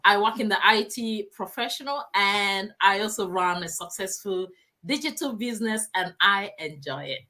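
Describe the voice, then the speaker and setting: A playful, animated woman introducing herself in a live-stream group chat. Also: mid-range voice, moderate pace. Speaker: panel guest.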